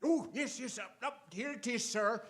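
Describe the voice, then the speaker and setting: An actor playing a deferential police inspector posing as a butler in a radio drama, speaking hesitantly and eagerly. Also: male voice, extremely high pitch, moderate pace, a bit bright, a little hiss or hum. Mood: eager reporting. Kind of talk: theatrical radio drama acting.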